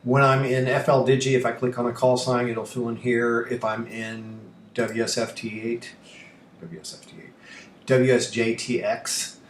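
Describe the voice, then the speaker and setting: Slow-talking man in an echoey room. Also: mid-range voice. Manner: rambling, thinking aloud, self-deprecating. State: allergy coughing and throat-clearing. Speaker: livestream host and ham radio operator. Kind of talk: casual live-stream tutorial. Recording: roomy echo, faint noise.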